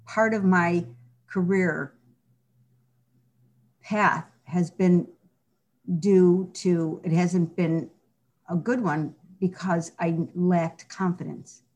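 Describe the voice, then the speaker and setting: A woman's voice: lowish voice, steady tone, extremely slow, long pauses, a little mellow, rough recording. A middle-aged woman talking earnestly and reflectively as an interview guest, often restarting her sentences. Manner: earnest, reflective, with frequent restarts and "you know". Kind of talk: candid personal interview.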